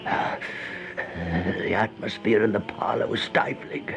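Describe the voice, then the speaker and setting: An actor playing a horrified man telling his nightmare in a halting, gasping, theatrical way, in an old radio horror monologue. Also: on the low side, flat delivery, creaky. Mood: fearful disgust. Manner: halting, gasping, theatrical delivery with drawn-out dread. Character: horrified man narrating his nightmare in a plague-haunted house. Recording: muffled, noisy old radio recording.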